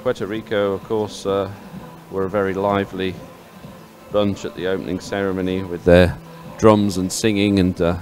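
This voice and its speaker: Low-pitched male sports commentator. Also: little pitch movement, normal speed, darkish tone, faint music behind. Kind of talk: live race commentary, partly ad-libbed. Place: live broadcast at an outdoor regatta venue.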